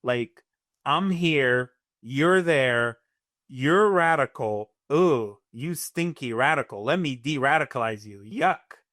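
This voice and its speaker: A man's voice: medium pitch, lively intonation, takes it slowly, a bit bright, dry recording, clean studio sound. A man from Gaza whose first language is Arabic, speaking earnestly as a guest analyst in an interview, often repeating words as he starts a sentence.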